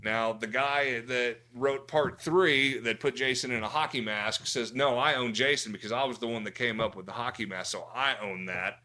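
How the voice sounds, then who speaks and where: Bright-voiced man, profane and slangy. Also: mid-range voice, moderate pace, hardly any pauses. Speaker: podcast co-host; film actor. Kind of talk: casual podcast chat.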